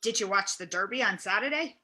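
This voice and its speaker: A woman playing an irritable, incredulous male radio host in his sixties in a comedy table read, blunt and quick to repeat questions. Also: mid-range voice, lively intonation, sharp tone.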